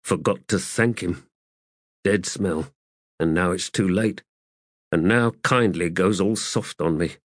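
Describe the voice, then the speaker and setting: A man voicing a cheeky, bitter wounded soldier with a sardonic, quick tongue. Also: low-pitched, dry recording, studio quality.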